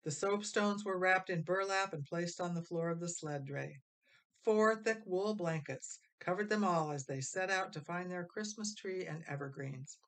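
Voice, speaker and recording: A woman reading a children's story aloud as expressive scripted narration. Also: lowish voice, normal speed. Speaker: storybook reader.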